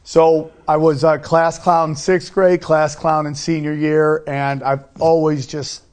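Man, middle-aged, slightly high pitch, casual interview talk, emphatic storyteller, podcast guest. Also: little pitch movement, measured pace.

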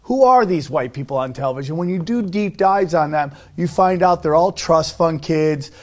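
A man's voice: a little high, normal speed, darkish tone. A middle-aged man talking casually as an interview guest, a comedian who tells things in an emphatic way, repeating himself for effect.

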